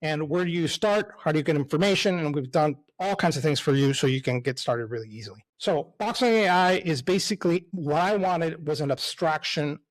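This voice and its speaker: A man's voice: on the high side, brisk, few pauses, rough recording. A middle-aged man from El Salvador, a company CEO, giving a casual, enthusiastic webinar presentation with frequent fillers and "right?" asides.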